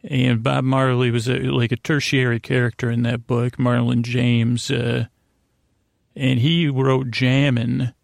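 A man hosting a bedtime podcast in a creaky voice, rambling in a gently silly, halting way that meanders and circles back. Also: mid-range voice, level tone, no room sound, clean studio sound. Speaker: podcast host. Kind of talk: rambling bedtime monologue to aid sleep.

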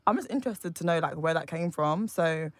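A woman's voice: deep voice, expressive, fairly quick, no echo, studio quality. Curious woman asking questions. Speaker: panel guest. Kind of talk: casual discussion.

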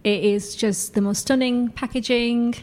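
A woman's voice: on the high side, steady tone, unhurried, a little mellow. A woman from Yorkshire gushing about a favourite product. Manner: gushing, enthusiastic.

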